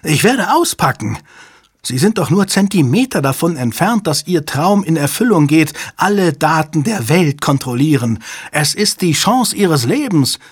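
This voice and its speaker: A man voicing a desperate, panicking younger male hostage-taker in an audiobook, shrill and frantic as he threatens and pleads. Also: takes it slowly, a bit bright, husky, dry recording, clean studio sound, full character voice. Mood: frantic, pleading. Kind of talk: dramatic audiobook character voice.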